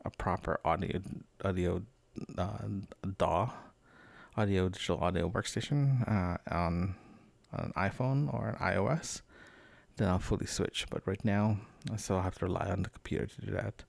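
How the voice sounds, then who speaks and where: A man talks off the cuff, as if keeping a personal audio diary. His voice sits on the low side with plenty of fry, and the sound is dry and close. He wanders from one aside to the next, halting as he goes. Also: no room sound. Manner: rambling, halting, trailing asides. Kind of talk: casual, unscripted spoken diary.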